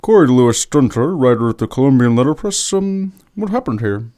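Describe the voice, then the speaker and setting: An actor playing a measured news anchor in a scripted audio drama newscast. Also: male voice, medium pitch, very animated, a little mellow, a little hiss or hum, lightly acted.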